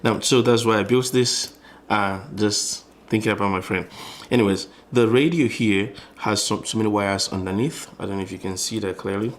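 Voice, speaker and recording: A man with a fairly low voice presents an informal hands-on tutorial. He explains things conversationally, as a presenter would while demonstrating, and often rewords his phrases along the way. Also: normal speed, a little hiss or hum. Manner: explanatory, conversational, frequently rephrasing.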